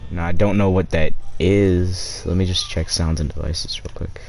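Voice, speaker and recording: Deep-voiced guy streaming a game. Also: steady tone, moderate pace, vocal fry, darkish tone. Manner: rambling, crude, swears casually. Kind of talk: casual live-stream chat while gaming.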